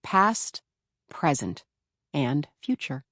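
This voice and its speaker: A woman from Philadelphia reading her own memoir in a calm, measured way. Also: sits low, dry recording, clean studio sound. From Philadelphia, USA. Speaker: author narrating her memoir. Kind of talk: audiobook memoir narration.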